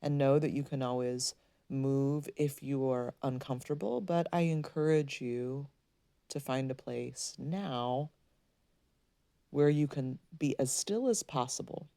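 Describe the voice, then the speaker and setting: A woman leading a guided meditation, speaking in a soothing, gently instructing way with unhurried pauses. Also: very deep voice, no echo.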